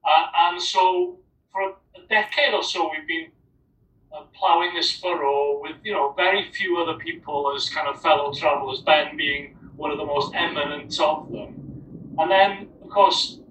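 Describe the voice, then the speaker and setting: A man's voice: fairly high pitch, normal speed, sharp tone, slight background noise. A man with a Welsh accent, an academic on a panel, talking in a discursive way with many restarts. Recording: roomy, distant mic.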